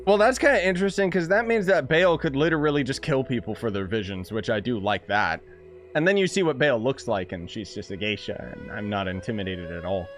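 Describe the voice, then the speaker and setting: Animated, sarcastic male gamer. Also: mid-range voice, a little fast. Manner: exclamatory, sarcastic. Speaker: gaming video creator. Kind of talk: casual gameplay reaction commentary. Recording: game music behind the voice.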